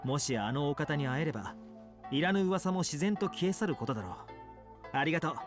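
A man's voice: a little high, lively intonation, fairly quick, music in the background, close to an everyday voice. A man playing a courteous, friendly young retainer in a video game, speaking politely and earnestly. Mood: earnest, grateful. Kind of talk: scripted video game dialogue.

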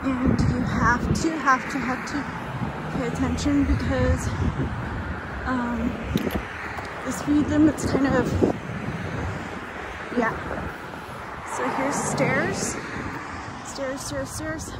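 A woman with a high voice talks slowly and haltingly, as in a casual walking vlog. She often lets her phrases trail off. Loud outdoor noise runs behind her phone recording. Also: fairly even intonation. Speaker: vlogger. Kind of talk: casual walking-tour chat. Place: outdoors walking along roadside and hilly park. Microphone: handheld phone at arm's length.

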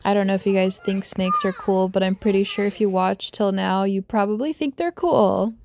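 A young woman chatting casually as she shows off pet snakes outdoors on a backyard deck, speaking in an unhurried, affectionate way into a handheld phone camera. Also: medium pitch, steady tone, background noise. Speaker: vlogger showing pet snakes. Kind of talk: casual show-and-tell narration.

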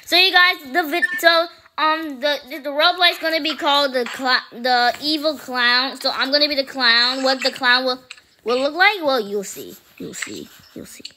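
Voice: high-pitched, moderate pace, pushed and bright, a little hiss or hum. A child hosting a gaming video, chatting casually during play. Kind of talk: casual chat during Roblox gameplay.

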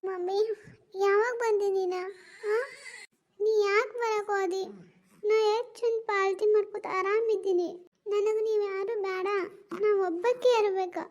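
A woman's voice: extremely high pitch, steady tone, measured pace, pauses often, darkish tone. A young woman with a North Karnataka Kannada accent, playing a drunk, heartbroken daughter who whines and pleads stubbornly in a family drama skit. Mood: sulky. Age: young adult.

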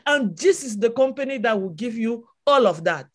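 A woman's voice: a little high, expressive, moderate pace. A woman from Cameroon, a native French speaker with a Cameroonian French accent, giving a passionate motivational testimony on a video call with a repetitive preaching cadence. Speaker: webinar speaker.

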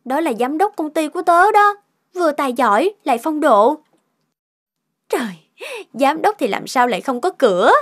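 High-voiced young cartoon woman. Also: animated, normal speed. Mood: chatty, teasing. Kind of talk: animated cartoon dialogue.

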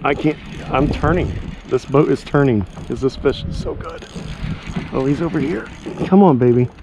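A man's voice: mid-range voice, normal speed, dull audio, background noise. A middle-aged man, narrating a casual fishing vlog outdoors into an action camera, talking informally to viewers and himself. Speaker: fishing vlogger. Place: outdoors by and on a pond. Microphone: action camera, handheld or worn.

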